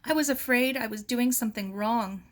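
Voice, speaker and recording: A woman's voice: a little high, moderate pace, voice pushed up, natural voice. A woman voicing an earnest, anxious young girl in a storybook, bossy and emphatic. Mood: worried. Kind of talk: storybook character dialogue.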